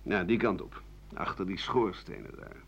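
A disgraced old writer in a radio drama, bitter and sardonic. His grumbling, theatrical delivery swings widely in pitch. The old recording sounds dull and muffled. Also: lively intonation, moderate pace, creaky, fairly bright tone, exaggerated voice. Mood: wry, bitter. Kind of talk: 1980 Dutch radio play monologue.

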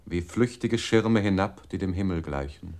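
A man voicing a computer unit in a radio play, reciting verse in a grave, measured manner. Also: deep voice, a little mellow.

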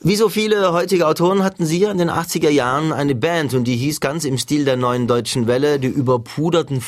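A man's voice: a little high, fairly even intonation, fairly quick, close to an everyday voice. An actor playing a chatty, smug male radio host in a satirical interview, wordy and theatrically emphatic.

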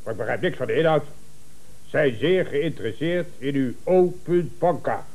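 A pompous company boss in a 1970s radio comedy. His medium-pitched voice has a gravelly creak, and he lays down the law in a domineering, self-important way. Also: animated, normal speed, darkish tone. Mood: brusque, demanding. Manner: pompous, domineering, barking orders. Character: blustering, self-important male company boss, quick to scold.

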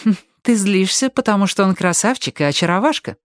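A woman voicing a sharp, stubborn teenage girl in an audiobook, emphatic and quick to argue or tease. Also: medium pitch, expressive, no echo, clean studio sound, pitched above the performer's own voice, lightly acted. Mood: teasing, scolding. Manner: emphatic, argumentative. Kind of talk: audiobook character voice.

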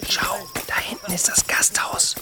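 An actor in a German radio drama playing a stealthy, grumbling medieval assassin, hushed and urgent, often whispering. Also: male voice, measured pace, faint music behind. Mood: hushed, tense. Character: stealthy, grumbling medieval assassin, twin brother to his accomplice.

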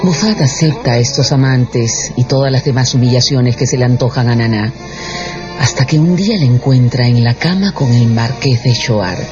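A man's voice: medium pitch, moderate pace. A man narrating a radio drama as a scripted, dramatic storyteller. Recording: dull radio production with music bed.

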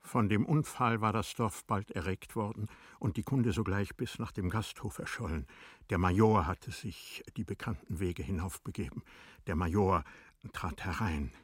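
A man narrating a literary radio drama in a solemn, deliberate way, sometimes dropping to a hush. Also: dry recording, clean studio sound. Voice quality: low, husky. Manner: solemn, deliberate, hushed at times.

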